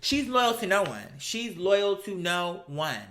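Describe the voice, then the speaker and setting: A woman's voice: mid-range voice, slow, a little hiss or hum. An American woman hosting a recap show, giving casual, blunt, sassy opinions with plenty of slang and swearing. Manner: sassy, animated, slangy, acts out imagined dialogue; blunt, profane. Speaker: host of a reality TV commentary show.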